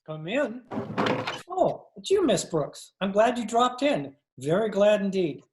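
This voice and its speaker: A woman's voice: medium pitch, big pitch swings, unhurried, echoey room, background noise. Woman as mild, earnest biology teacher Mister Boynton. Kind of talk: old-time radio comedy acting. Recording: remote video-call recording.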